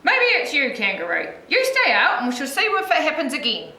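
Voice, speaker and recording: An excitable storybook man, acted out by a woman, exasperated and bellowing. The pitch is high and swings widely, with a bright, forceful edge. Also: brisk, heavily acted. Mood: indignant, puzzled. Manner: bellowing, exclamatory. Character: excitable, exasperated male bather in children's storybook. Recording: echoey room with faint noise.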